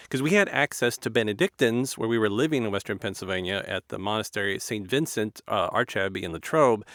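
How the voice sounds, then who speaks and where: A man's voice: lowish voice, a bit bright, no room sound, studio quality. An American man hosting a conversational interview, hesitant and rephrasing as he goes. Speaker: interview host.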